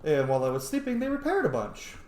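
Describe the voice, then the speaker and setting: A man's voice: a little high, big pitch swings, quick pace, darkish tone, roomy sound, a little hiss or hum. A man giving casual, chatty gaming commentary as a let's play host, reacting aloud as he plays.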